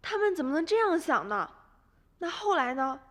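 A woman's voice: high voice, sing-song, normal speed, fairly bright tone, big-room sound. A woman playing a curious, eager young granddaughter in a radio drama.

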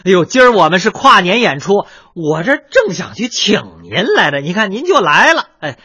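An actor with a Beijing accent playing a harried, fast-patter crosstalk comedian who runs a teahouse, in a radio comedy drama. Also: male voice, sits high, sing-song, bright, forceful tone, husky, dry recording, exaggerated voice. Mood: fawning. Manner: rapid comic patter, fussing and fawning. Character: harried male crosstalk comic and teahouse boss.

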